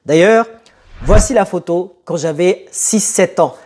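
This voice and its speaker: A young man who grew up in France telling a personal story to camera in a conversational, emphatic way with dramatic pauses. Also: high voice, a little mellow, slightly rough. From born in Italy, moved to France as a child. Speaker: motivational video host.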